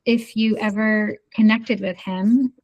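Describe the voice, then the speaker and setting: A woman talks through her thoughts in an unhurried podcast chat. Her pitch sits in the middle and barely moves, which gives her a flat, even delivery. She pauses often, with many "um"s and restarts as she works out what she means. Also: muffled recording. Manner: thoughtful, hesitant, many fillers. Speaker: podcast guest. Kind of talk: casual conversation.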